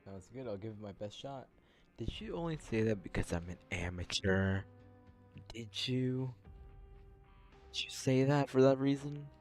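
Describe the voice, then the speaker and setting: A man's voice: mid-range voice, expressive, unhurried, long pauses. A man giving casual playthrough commentary as a gaming streamer, reading the game text aloud. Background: game music.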